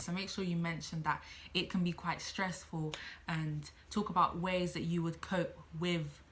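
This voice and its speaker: Bright-voiced young woman, chatty vlogger. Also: on the low side. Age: late teens. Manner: chatty, thinks aloud, repeats herself. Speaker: student midwife vlogger. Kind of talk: casual advice vlog, talking to camera.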